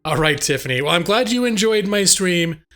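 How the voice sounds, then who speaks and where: A slightly hoarse man streaming a game live, chatting casually and ramblingly to his viewers. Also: sits high, lively intonation, moderate pace, a bit bright. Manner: rambling, conversational, addresses chat directly. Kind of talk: casual chat with viewers while gaming. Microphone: close microphone on a boom arm.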